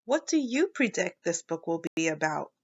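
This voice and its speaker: A woman's voice: fairly low pitch, big pitch swings, normal speed, breathy edge, dull audio. A woman teacher leading a children's read-aloud lesson, explaining as she thinks aloud and prompting listeners with questions.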